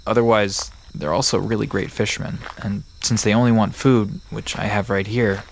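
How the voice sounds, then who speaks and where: A man's voice: on the low side, steady tone, normal speed, creaky, poor audio. An actor playing a friendly, knowledgeable young man who explains folklore in an easygoing, reassuring way in an audio drama. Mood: relaxed, reassuring. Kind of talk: conversational audio drama acting. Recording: music and crickets behind voice.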